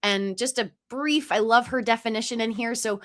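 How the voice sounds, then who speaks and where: Woman podcast co-host, Pennsylvania accent, bright tone, chatty and upbeat. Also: fairly high pitch, moderate pace. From Delaware County, Pennsylvania, USA. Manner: upbeat and chatty, with frequent fillers. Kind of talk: conversational podcast hosting.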